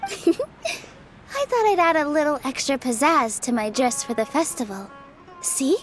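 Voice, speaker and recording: A woman playing a gentle, earnest young female dancer in a video game, friendly and politely inviting. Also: high voice, very animated, a little slow, faint music behind, heavily acted. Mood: cheerful. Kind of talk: video game cutscene acting.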